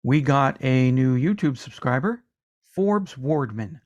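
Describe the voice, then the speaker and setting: A man co-hosting a laid-back music podcast, trading jokes with his partner and reading listener comments aloud. His pitch sits at an ordinary middle height for a man. He talks in a loose, chatty way, full of asides. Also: lively intonation, slow pace, lo-fi sound. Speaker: podcast co-host. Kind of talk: casual podcast banter.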